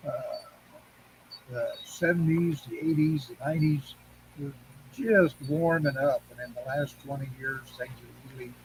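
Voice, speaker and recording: An older man with a slightly raised voice reminisces casually. He rambles and halts as he moves from one anecdote to the next. There is clear hum and noise behind him. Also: on the high side, a little slow, a little mellow, low-quality recording.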